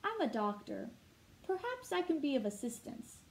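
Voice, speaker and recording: Woman reading as a brisk, jolly doctor, high-pitched. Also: very animated, creaky, darkish tone, full character voice, low-quality recording. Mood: brisk, urgent. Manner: brisk, decisive storybook dialogue.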